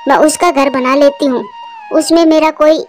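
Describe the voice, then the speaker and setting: A woman's voice: high-pitched, fairly even intonation, moderate pace, a little mellow, soft background music, lightly acted. A woman voicing an earnest, hard-working female cartoon bird who frets aloud in a plaintive manner. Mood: distressed, regretful. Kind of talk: Hindi cartoon story voice acting.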